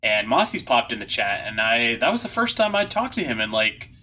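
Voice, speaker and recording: Bright, forceful man hosting a podcast. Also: mid-range voice, big pitch swings, a little fast. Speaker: podcast host. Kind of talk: casual chat.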